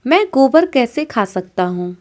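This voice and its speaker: A woman's voice: fairly high pitch, very animated, a little slow, a little mellow. A woman voicing a remorseful village man pleading before God in a moral story.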